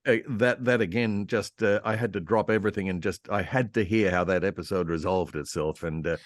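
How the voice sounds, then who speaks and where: An older man with an Australian accent, reminiscing casually and haltingly as an interview guest. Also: deep voice, no room sound, clean studio sound. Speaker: interview guest, radio historian and voice actor.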